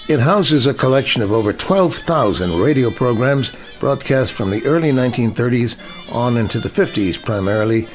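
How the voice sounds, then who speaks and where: An older man, a veteran broadcaster, reading a scripted radio feature in a measured, polished way. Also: on the low side.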